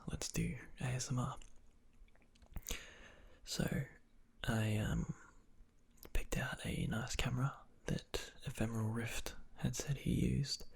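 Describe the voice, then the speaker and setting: A man whispering softly, flat and husky. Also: mid-range voice, a little slow. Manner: soft rambling whisper with fillers. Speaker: ASMR channel creator. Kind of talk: whispered ASMR question-and-answer.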